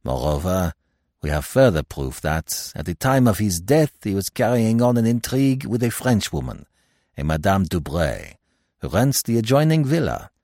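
Man acting a low, courteous French magistrate. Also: big pitch swings, vocal fry, darkish tone, no room sound, studio quality. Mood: grave, insistent. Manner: formal, deliberate, polite. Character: courteous, measured French male examining magistrate. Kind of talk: acted dialogue in audiobook mystery.

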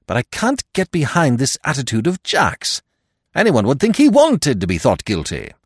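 A man's voice: medium pitch, wildly swooping pitch, normal speed, breathy edge, dry recording, pitched above the performer's own voice. A man voicing a loyal, blunt English secretary in an audiobook, dry and forthright in manner. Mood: frank, puzzled. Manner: blunt, colloquial.

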